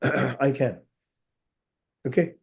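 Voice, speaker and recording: A man in his thirties on a video call, briefing a student before an oral exam; he is under the weather, with a husky voice and frequent throat clearing, speaking in a measured way with many fillers. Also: mid-range voice. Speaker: examiner.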